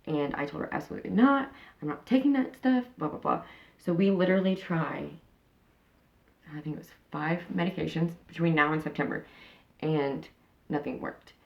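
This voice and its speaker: A woman's voice: deep voice, normal speed, creaky, muffled recording. A woman in her thirties talking frankly in a casual interview, rambling with many fillers and restarts. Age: 30s. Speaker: interviewee, channel host.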